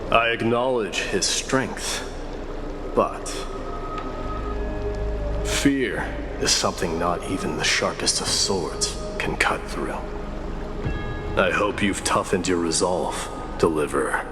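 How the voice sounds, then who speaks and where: A man voicing a proud warrior prince who speaks in a grave, measured way. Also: low-pitched. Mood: stern. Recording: roomy reverb under loud music.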